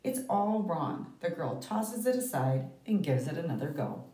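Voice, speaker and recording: A woman reading a picture book aloud to children, with deliberate, emphatic phrasing and dramatic pauses. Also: fairly low pitch, very animated, warm tone. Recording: roomy, echoey, distant microphone.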